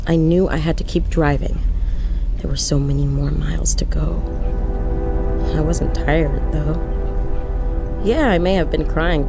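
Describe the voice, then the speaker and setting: Actress as troubled woman driving alone at night, wry confiding narration, questions herself, music underneath. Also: sits low. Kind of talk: first-person audio drama narration.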